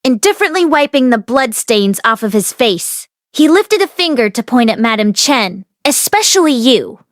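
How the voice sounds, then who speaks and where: High-voiced woman reading a story aloud. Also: big pitch swings, moderate pace, no echo, clean studio sound. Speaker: audiobook reader. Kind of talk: expressive story read-aloud.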